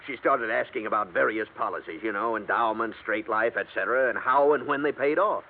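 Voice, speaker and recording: An actor playing a wry, chatty insurance agent in a 1950s radio drama. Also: male voice, lowish voice, fairly quick. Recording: tinny lo-fi 1950s radio broadcast.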